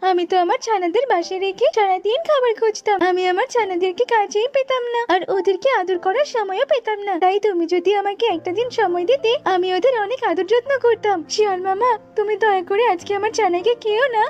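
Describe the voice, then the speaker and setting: Woman as a very high-voiced little mother bird. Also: brisk, few pauses, a little mellow. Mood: pleading. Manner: imploring, high, emotional. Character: small mother tailorbird, tearful, pleading, quietly cunning. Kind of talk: children's animated folk-tale acting. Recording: dull lo-fi audio with music behind.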